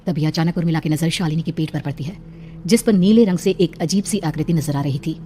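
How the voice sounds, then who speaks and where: A woman's voice: low-pitched, fairly quick, dark tone, a little hiss or hum. A woman narrating a scripted horror cartoon story in a dramatic storytelling style.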